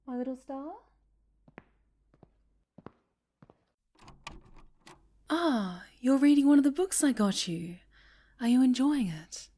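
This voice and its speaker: A woman playing a sinister, obsessively doting dark-angel superhero in an intimate ASMR roleplay, cooing and whispering with sweet menace. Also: high voice, animated, moderate pace. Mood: soft, doting.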